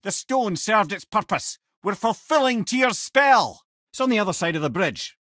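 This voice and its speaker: A garrulous old Scottish sage from a video game. His voice is husky and swings widely in pitch, close and dry. He talks with wry, eloquent wit, like a born storyteller. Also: lively intonation, normal speed, sharp tone, no echo, exaggerated voice. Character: witty, garrulous, wise severed-head companion, older man.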